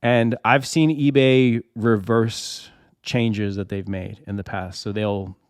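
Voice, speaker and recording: A man's voice: lowish voice, fairly even intonation, slow, clean tone, no room sound, studio quality. A man who is feeling under the weather, talking in a casual, chatty way as a channel host. He repeats himself and builds a long hypothetical example, with rhetorical questions along the way. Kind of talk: casual explainer to camera.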